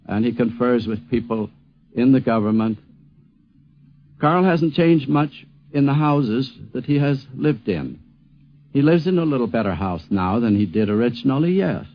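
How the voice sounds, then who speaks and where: A man giving a reflective spoken tribute, stressing his points deliberately. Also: mid-range voice. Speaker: commentator recalling an acquaintance. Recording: dull lo-fi vintage radio recording.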